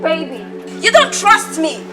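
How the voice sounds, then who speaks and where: A woman's voice: very high-pitched, sing-song, measured pace, music in the background, exaggerated voice. An actress with a Nigerian accent playing a defiant, aggrieved young girlfriend in a film drama. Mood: pleading, defensive.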